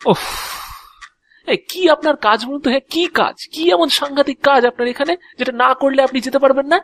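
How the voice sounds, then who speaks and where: A man's voice: very high-pitched, a little fast, a bit bright, dry recording, studio quality. A man playing an agitated, exasperated householder in an audio drama, stammering and sarcastic as he confronts an intruder.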